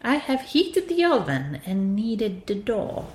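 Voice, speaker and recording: A woman voicing a wicked, scornful old witch in a storybook reading, with a loud, drawn-out delivery. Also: mid-range voice, big pitch swings. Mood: sly. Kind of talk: fairy tale storybook reading. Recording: echoey room.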